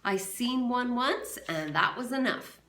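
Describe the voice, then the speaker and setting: A boastful, folksy country man, as a woman reading aloud puts him on speaks loud and high. A roomy echo surrounds the reading. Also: lively intonation, unhurried, far from the mic, heavily acted.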